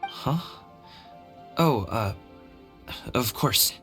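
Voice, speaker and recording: A man's voice: medium pitch, lively intonation, drawn-out words, fairly bright tone, faint music behind. A man voicing an earnest, self-doubting young son in a video game, with a hesitant, downcast manner.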